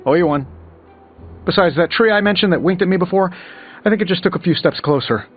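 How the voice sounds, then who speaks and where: An actor playing a cocky superhero inventor in a video game, sarcastic and quippy. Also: male voice, high voice, brisk, natural voice. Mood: wry. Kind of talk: video game character dialogue. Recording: slightly muffled, music behind.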